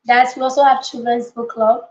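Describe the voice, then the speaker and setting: A woman's voice: a little high, little pitch movement, fairly quick. A woman coach leading an informal motivational live lesson, speaking emphatically, repeating key phrases and checking in with her listeners. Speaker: coach and book club leader hosting a live session. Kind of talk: motivational live teaching with informal chat to viewers. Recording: echoey room, slightly dull lo-fi audio.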